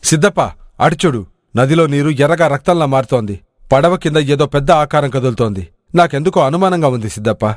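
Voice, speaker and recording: A man voicing an excitable, loyal village adventurer in an animated moral story, with a dramatic delivery. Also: fairly high pitch, fairly quick, no room sound, clean studio sound, lightly acted. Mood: fearful.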